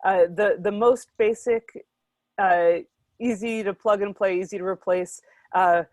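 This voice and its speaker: A woman giving measured, hesitant advice on an online convention panel, with frequent fillers. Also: medium pitch, fairly even intonation, a little mellow, dry recording.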